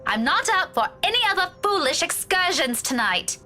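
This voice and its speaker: A woman's voice: extremely high pitch, big pitch swings, moderate pace, bright, forceful tone, full character voice. An actress in a visual novel playing a prickly, weary young woman who speaks curtly and impatiently. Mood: exasperated, weary. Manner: curt, sardonic, impatient. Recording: music behind the voice.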